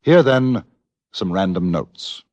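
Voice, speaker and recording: An actor playing a measured, literate frontier newspaper correspondent with an English accent, narrating dramatically from a script. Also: male voice, deep voice, lively intonation, muffled recording, over loud music. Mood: reflective.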